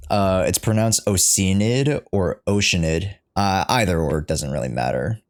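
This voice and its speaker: A man's voice: low-pitched, lively intonation, measured pace. A man livestreaming a casual, slangy reaction to a game, joking and rambling as he goes.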